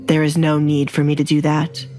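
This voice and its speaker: Lady voicing a cold bossy duke, low stern controlled voice, music underneath. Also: completely flat delivery, moderate pace, dark tone, natural voice. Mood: calm. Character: cold, commanding male duke, protective and curt.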